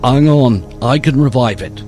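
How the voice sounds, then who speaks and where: Man doing an excitable, raised-pitch rookie policeman. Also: unhurried, faint music behind, natural voice. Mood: eager coaxing. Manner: eager, excitable. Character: eager young male rookie policeman, excitable tech helper.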